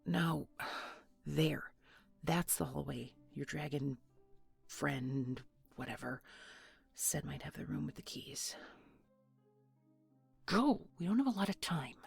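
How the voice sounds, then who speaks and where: A woman playing a bossy, irritable rescuer on a stealth mission in an audio roleplay, speaking in a hushed, terse, impatient way. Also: deep voice, expressive, takes it slowly, gravelly, pauses often, breathy edge, faint music behind, heavily acted. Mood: urgent, irritated. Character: bossy, irritable rescuer sneaking through a griffin palace.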